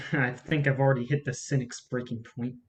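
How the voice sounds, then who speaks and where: A man's voice: mid-range voice, flat delivery, normal speed, a little hiss or hum, natural voice. A man playing a cynical but smitten, inquisitive young man in a naturalistic staged play reading. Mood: curious.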